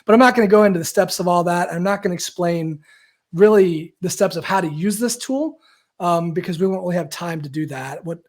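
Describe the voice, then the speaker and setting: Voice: medium pitch, fairly quick. A coach hosting a live video coaching session who talks in a chatty, rambling way, full of fillers and self-corrections. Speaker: coach and host. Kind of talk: live-stream coaching talk.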